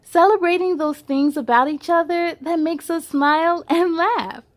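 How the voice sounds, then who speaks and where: Female children's read-aloud host, high voice, animated and playful storytelling delivery. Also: moderate pace. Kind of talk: expressive read-aloud for children with chatty asides.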